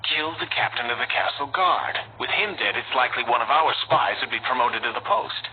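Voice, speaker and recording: Supporting game role: actor as shrewd male resistance chief, deliberate and conspiratorial, medium pitch, narrow phone-like audio, slight hiss. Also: lightly acted. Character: shrewd male resistance leader briefing an assassin. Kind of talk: video game cutscene acting.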